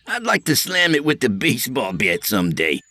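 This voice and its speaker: A man putting on a jittery, eager-to-please sidekick with a raised, lighter voice. He sounds anxious to smooth things over, recorded close and dry. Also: wildly swooping pitch, moderate pace, bright, forceful tone, dry recording, full character voice. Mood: nervous. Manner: lighter, higher, nervous.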